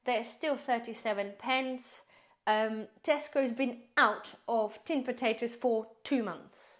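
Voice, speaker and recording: A woman prepper vlogger chatting casually through a grocery haul, rambling, addressing viewers as guys, her voice slightly hoarse. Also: a little high, slow. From based in the UK.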